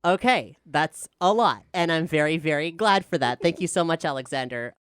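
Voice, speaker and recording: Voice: medium pitch, expressive, normal speed, smooth, no room sound, clean studio sound. An enthusiastic, articulate podcast host talking conversationally through a question-and-answer show. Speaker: showrunner and host.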